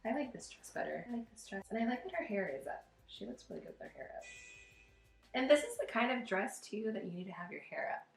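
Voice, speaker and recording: A woman with a slightly rough, hoarse voice giving casual, chatty reaction commentary as a wedding planner hosting a video. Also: fairly high pitch, sing-song, fast, faint music behind. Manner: chatty, informal, thinking aloud with lots of "like". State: hoarse from losing voice. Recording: roomy, echoey home-video sound.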